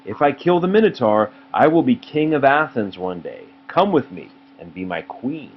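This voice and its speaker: A man's voice: mid-range voice, very animated, moderate pace, dull audio, pitched above the performer's own voice, natural voice. A man reading aloud as an earnest, brave young Greek prince in a storybook, solemn and resolute.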